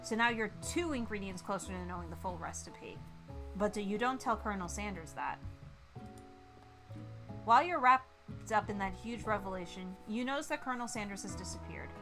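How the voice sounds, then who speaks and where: Bright-voiced woman streaming a game. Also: medium pitch, moderate pace, soft background music. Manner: giggly, expressive, reacting between read lines. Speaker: streamer playing a visual novel. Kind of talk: livestream game read-along with chatty reactions.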